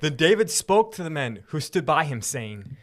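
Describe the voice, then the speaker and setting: Animated podcast narrator with lively pitch. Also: normal speed. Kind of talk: lively scripture narration and casual banter.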